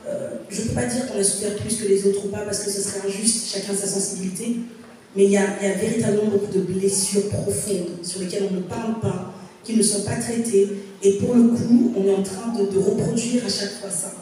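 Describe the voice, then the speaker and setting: A woman's voice: mid-range voice, fairly even intonation, fairly quick, mellow, soft background music. A woman in her thirties, from Congo, speaking at a public panel in an earnest, reflective way with many fillers and restarts. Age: 30s. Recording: echoey hall, voice from far off.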